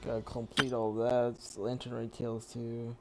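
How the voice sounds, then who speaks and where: A man's voice: medium pitch, monotone, a little slow. A man commentating casually on a game livestream, muttering to himself and reading screen text aloud. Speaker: game streamer. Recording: loud game music behind. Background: loud game music.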